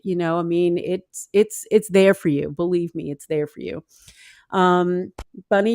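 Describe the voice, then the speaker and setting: A woman with a New Orleans accent hosts a casual talk show over a video call. Her voice is hoarse and scratchy from being sick, and she draws her words out in a warm, encouraging way. The sound is dry and close. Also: mid-range voice, no room sound. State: hoarse from illness. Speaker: host.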